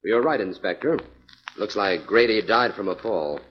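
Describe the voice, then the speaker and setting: A man playing a calm, inquisitive scientist sleuth in a 1940s radio drama, measured and probing. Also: fairly low pitch, over loud music, natural voice. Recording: muffled, hissy 1940s radio recording with clicks.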